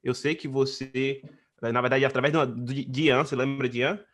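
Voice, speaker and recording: A man's voice: mid-range voice, steady tone, fairly quick, fairly bright tone. A man hosting a casual interview in an informal, chatty way. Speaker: host, interviewer.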